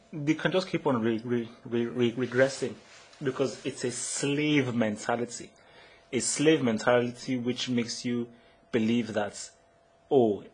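A man's voice: mid-range voice, a little mellow. Frustrated man ranting about football. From Nigeria. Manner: frustrated, repetitive, emphatic with stammered restarts. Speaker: football blogger. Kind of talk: solo match analysis rant.

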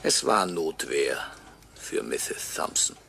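An actor playing a bitter murder victim who narrates a radio crime drama with brooding, sardonic deliberation and a gravelly voice. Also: male voice, a bass voice, animated, takes it slowly, sharp tone, exaggerated voice. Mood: bitter irony. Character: bitter murdered watchmaker's employee, narrating from beyond death.

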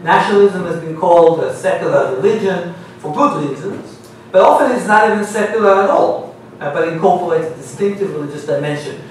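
A middle-aged man with an Israeli accent, giving a measured academic talk as a panelist at a university seminar table. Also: high-pitched, expressive, slight background noise. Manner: measured, lecturing, reads quotations aloud. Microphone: gooseneck table mic. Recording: very echoey room, mic at a distance.

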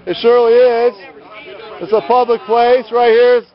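A male street preacher with a very high-pitched, combative delivery. He scolds and argues loudly in a confrontational preaching style. The audio is a dull, lo-fi outdoor camera recording. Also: little pitch movement, normal speed. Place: outdoors at night on a city sidewalk beside a road. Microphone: handheld camera. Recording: muffled lo-fi phone camera audio.

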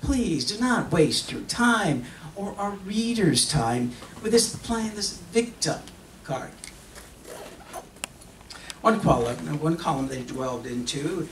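Slow, theatrical middle-aged man on stage. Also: a little high. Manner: expressive, emphatic, with dramatic pauses; switches between English and Spanish. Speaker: performer of a dramatic reading. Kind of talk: theatrical dramatic monologue read from a script. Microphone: microphone on a stand. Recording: echoey hall from far off, faint noise.

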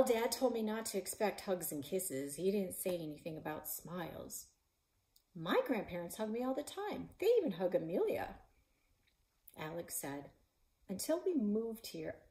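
Brief: expressive woman narrator for a middle-grade read-aloud, pitch that swings widely, recorded at home by a fireplace. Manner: lively, voicing characters with shouts and whispers. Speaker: librarian and author reading her novel aloud. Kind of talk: children's book read-aloud. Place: living room in front of a stone fireplace.

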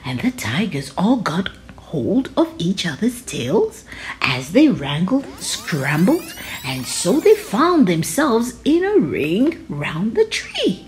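Woman doing storytime for kids, slow and super expressive. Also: medium pitch. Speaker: children's story reader. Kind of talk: expressive read-aloud narration.

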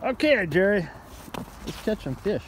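Man, 40s, upbeat vlogger, high lively voice, frozen lake outdoors, phone camera, windy background. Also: measured pace. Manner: upbeat, chatty, talks to viewers as guys. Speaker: magnet fishing vlogger. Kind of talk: casual vlog chat. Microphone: phone camera held by the speaker.

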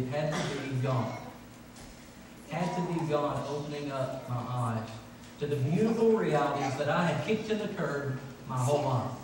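A middle-aged man preaching a sermon, emphatically repeating phrases for stress. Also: a little high. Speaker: pastor. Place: large church sanctuary, from the pulpit. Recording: echoey church hall, distant, faint noise.

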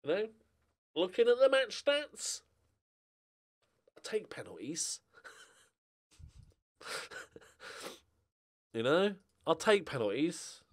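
Middle aged gamer guy commentating footy management, higher voice that jumps all over, sweary and chatty. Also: slow pace, long pauses. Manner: animated, sweary, talks to players and viewers. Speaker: gaming streamer. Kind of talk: casual football manager game commentary. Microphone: close desk microphone.

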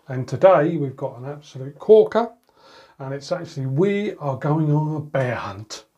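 A man's voice: fairly high pitch, expressive, moderate pace, warm tone. A middle-aged man reading a picture book aloud to young children as a grandad, expressively, with playful sound words. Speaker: grandad reading bedtime stories. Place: indoors in a room at home, by a door.

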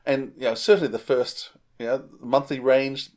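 A man's voice: mid-range voice, fairly even intonation, normal speed, darkish tone. A middle-aged man with an Australian accent, chatting casually as a podcast co-host on a video call. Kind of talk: casual chat.

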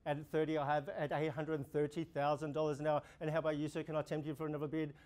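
A young man with an Australian accent, an auctioneer running a practice auction, calling bids in a steady repeating rhythm. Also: on the high side, fast. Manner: rhythmic, repetitive bid calling, gestures while talking. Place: indoor room before a projection screen.

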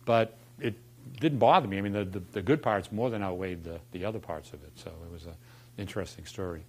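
A man's voice: low-pitched, quick pace, darkish tone. Boston-accented older man telling wry stories. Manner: wry storyteller, quoting people with punchlines. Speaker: former dean giving a talk. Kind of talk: anecdotal lunchtime talk. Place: dark lecture room in front of chalkboards.

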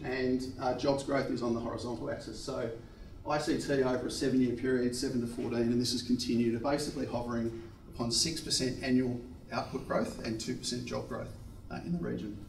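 A man's voice: mid-range voice, fairly even intonation, brisk, gravelly, echoey room, far from the mic, slight background noise, rough recording. Man presenting slides. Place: seminar room with projector. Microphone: handheld mic.

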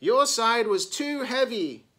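A man's voice: fairly high pitch, very animated, slow pace, a bit bright, pitched above the performer's own voice, heavily acted. A man voicing a stubborn, competitive schoolgirl in a storybook, with an insistent, exclaiming manner. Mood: accusing.

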